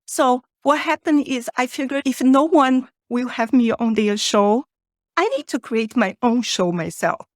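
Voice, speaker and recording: A woman's voice: high voice, measured pace, darkish tone, no room sound, studio quality. A woman from Brazil with a Brazilian accent and Portuguese as her native language, talking conversationally as a guest in an interview. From Rio de Janeiro, Brazil. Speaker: podcast guest, author and podcaster.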